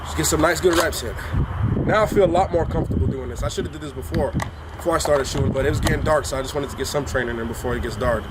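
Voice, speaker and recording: A man's voice: on the high side, rapid-fire. Congested man coaching outdoors over noise. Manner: laid-back and coaching, street slang. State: congested from illness. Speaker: firearms instructor. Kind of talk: casual instructional vlog. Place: outdoors on a hilly shooting range, rain starting. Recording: outdoor background noise with passing traffic.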